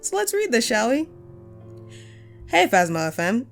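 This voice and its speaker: A woman's voice: fairly high pitch, sing-song, unhurried, faint music behind. A woman playing a friendly, wistful ghost who hosted a paranormal radio show, chatty and playful in an intimate audio roleplay. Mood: excited, playful. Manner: playful, conversational, self-deprecating, breaks into chuckles. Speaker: radio host.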